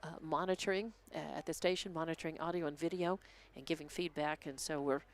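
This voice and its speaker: An American man giving an unscripted live game recap as a sports commentator, halting and thinking aloud as he goes. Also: a little high, fairly even intonation.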